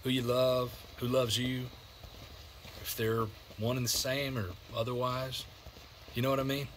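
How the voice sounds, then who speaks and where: An actor playing a weary, loyal survivor in a video game, plainspoken and folksy, with an American accent. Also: male voice, medium pitch, level tone, measured pace, a little hiss or hum, lightly acted. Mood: rueful, reflective. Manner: folksy, colloquial, reflective. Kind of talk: naturalistic video game drama acting.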